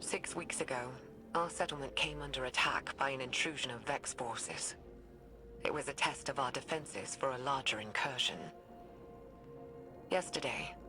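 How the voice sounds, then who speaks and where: An actress playing an earnest, weary scientist recording a game audio log in a thoughtful, measured way with hushed phrase endings. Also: female voice, very deep voice. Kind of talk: confessional audio log, dramatic game acting. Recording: music behind the voice.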